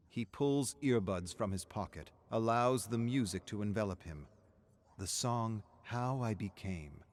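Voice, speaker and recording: A man reading dramatic scripted narration of a dark story in a measured, grave manner. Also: lowish voice.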